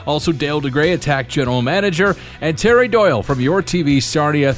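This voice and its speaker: A man hosting a sports podcast, interviewing guests and addressing listeners. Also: fairly high pitch. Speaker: podcast host.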